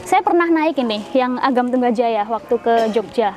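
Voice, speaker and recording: A woman's voice: on the high side, animated, normal speed. A woman interviewing a guest in a garage, chatty and curious, laughing often. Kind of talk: casual interview.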